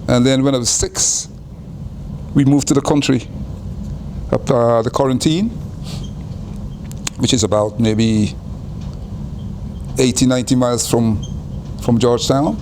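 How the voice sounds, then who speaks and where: An older man from Guyana, telling his life story in an interview in an unhurried way, often repeating and restarting phrases. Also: mid-range voice, steady tone, background noise.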